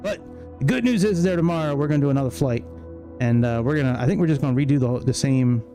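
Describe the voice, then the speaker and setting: A man's voice: mid-range voice, fast talker, dark tone, soft background music. A man giving casual, grumbling, self-deprecating livestream commentary on a flight sim game, swearing freely.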